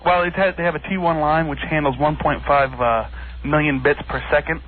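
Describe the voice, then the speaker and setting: Man, radio phone-in caller, nervous, halting, rushed pace, narrow telephone sound. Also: on the high side, fairly even intonation. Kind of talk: casual phone-in talk. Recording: tinny phone line with slight hiss.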